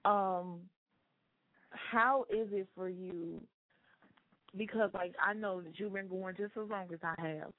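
A woman's voice: medium pitch, fairly even intonation, slow, pauses often, dry recording, narrow, tinny audio. Chatty woman radio host, phone-thin. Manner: chatty, frequent "okay" and "um". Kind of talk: casual radio interview.

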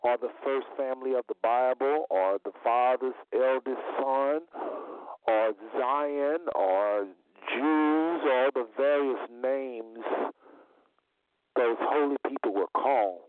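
A man hosting a religious call-in show in a deliberate, repetitive way, his voice slightly under the weather. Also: medium pitch, smooth, no echo. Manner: deliberate, repeats phrases for emphasis. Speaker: talk show host. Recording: phone-like internet radio audio.

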